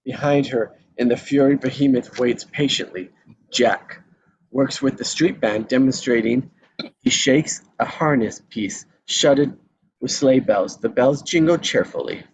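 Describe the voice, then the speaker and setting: A man's voice: medium pitch, a little slow, slightly rough, no room sound, low-quality recording. A man reading stage directions as scripted narration at a live table read. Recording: faint background noise.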